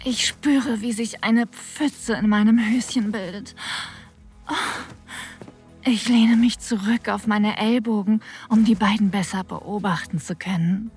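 An actress playing an aroused woman who narrates an intimate audio drama in a breathy, sensual, partly whispered voice. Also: medium pitch, fairly even intonation, unhurried, darkish tone, soft background music.

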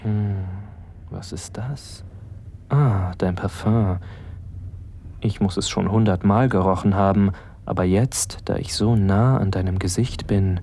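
A man's voice: deep voice, unhurried, warm tone, a little hiss or hum. An actor playing a smitten young male best friend, narrating an intimate audio drama in the first person, musing and addressing the listener as you. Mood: tipsy, flustered.

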